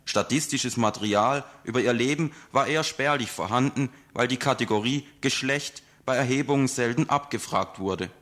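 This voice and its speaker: A man reads a scripted radio report at a measured pace. He leans on key words with calm emphasis. His pitch sits in the middle range.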